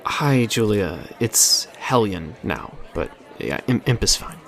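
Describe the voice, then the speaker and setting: A young ex-villain in an audio drama. The voice is fairly low and soft, with a shy, hesitant, mumbling delivery. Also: male voice, very animated, takes it slowly, gravelly, exaggerated voice. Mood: awkward, guarded. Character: shy, awkward young reformed villain imp.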